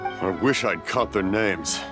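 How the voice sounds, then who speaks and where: A man voicing an apologetic, weary older man in a video game, halting and sighing. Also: sits low. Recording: music behind the voice.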